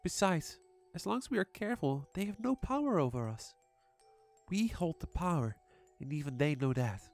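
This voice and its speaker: Woman as gentle mother with lilting voice. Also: low voice, lively intonation, normal speed, soft background music. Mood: earnest, reassuring. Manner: soothing, earnest. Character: gentle, reassuring mother soothing her young daughter. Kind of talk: dramatised horror story reading.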